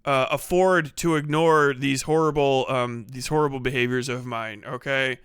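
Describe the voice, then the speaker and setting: A man hosting a livestream in casual, rambling, sweary chat, with an animated way of talking into a close mic. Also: medium pitch, normal speed, clean tone, no room sound. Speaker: livestream host.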